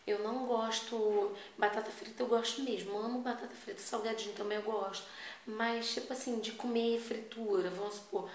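A Brazilian woman in her thirties with a Rio de Janeiro accent, chatting casually and emphatically to the camera as a vlogger. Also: on the high side. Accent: Rio de Janeiro (carioca) Brazilian Portuguese. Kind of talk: casual personal chat to camera. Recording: echoey room, faint background noise.

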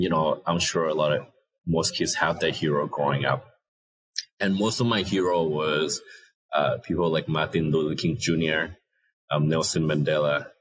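Low, husky Thai-accented man. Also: a bit bright, rough recording. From Thailand. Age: 30s. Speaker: interview guest, social entrepreneur. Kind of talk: conversational interview answers. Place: indoor room, on a video call. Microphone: earphone headset.

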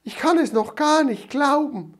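A man voicing a kindly, emotional old grandmother in a high, excited, tremulous voice. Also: a little slow. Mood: overjoyed.

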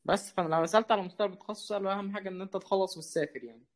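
A man's voice: high-pitched, steady tone, racing. A young man with an Egyptian Arabic accent, a caller asking casual questions on an online voice call. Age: young adult.

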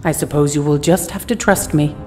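A woman's voice: deep voice, fairly quick, a little mellow, in a large hall, soft background music, lightly acted. A woman voicing a stern alien empress. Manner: formal, clipped.

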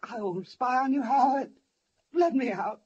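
A woman's voice: high-pitched, measured pace, a little mellow, slightly rough, dull audio, heavily acted. An actress playing a frightened older woman in an old radio thriller, anxious, pleading and placating. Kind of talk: 1940s-50s radio thriller acting. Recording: noisy old-time radio recording.

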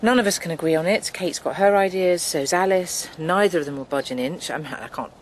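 A woman's voice: fairly low pitch, a little fast, a little hiss or hum, natural voice. An actress playing a weary, conciliatory woman from a farming family, earnest and rueful, in a naturalistic radio soap.

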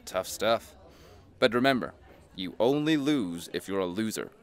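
A man's voice: fairly low pitch, expressive, a little slow. An actor playing a cynical, greedy rich businessman in an audio play, mocking and slickly persuasive. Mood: slick persuasion. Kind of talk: scripted audio play acting.

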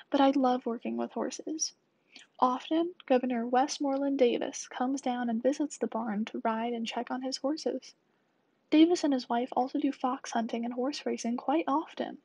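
Young female storyteller, high-pitched and slightly husky, for a scripted period monologue. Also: mellow, no echo. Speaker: student storyteller. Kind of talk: first-person scripted monologue as a 1920 stable groom.

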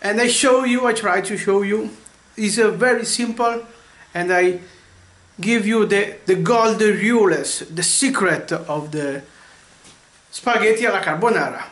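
A man in his thirties hosting a home cooking lesson, halting and searching for English words. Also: sits high, fairly bright tone. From Vietnam. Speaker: cooking channel host. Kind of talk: casual cooking demonstration. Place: home kitchen, indoors. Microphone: camera mic, often at arm's length or across the room. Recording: echoey kitchen with faint noise.

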